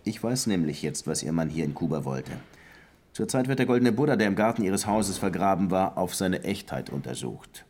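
Low-voiced, brusque police detective. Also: male voice, animated, normal speed, darkish tone, a little hiss or hum. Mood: brusque, pressing. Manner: commanding, biting interrogator. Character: male Cuban police detective, brusque, relentless and sardonic. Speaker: lead actor and first-person narrator. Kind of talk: German radio crime drama acting with narration.

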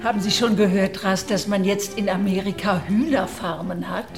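A woman's voice: mid-range voice, fairly even intonation, normal speed, roomy sound, music in the background, natural voice. A woman playing a chatty, fanciful society baroness in a radio drama. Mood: amused, gossipy. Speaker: actor in a radio play. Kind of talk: German radio drama acting.

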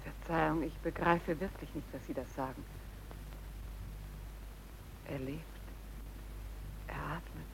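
An actress playing a bewildered, sorrowful young woman under interrogation in a German drama, hushed and halting. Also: low-pitched, steady tone, creaky, noisy background, full character voice. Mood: sad, resigned. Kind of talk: German radio drama or film-dub acting.

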